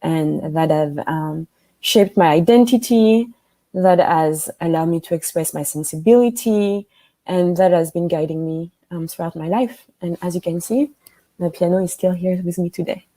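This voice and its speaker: A woman from France with a French accent, a guest speaking thoughtfully on a video call, pausing often and using fillers. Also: fairly low pitch, dark tone. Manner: thoughtful, with frequent pauses and fillers. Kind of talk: conversational interview. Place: home room with a piano.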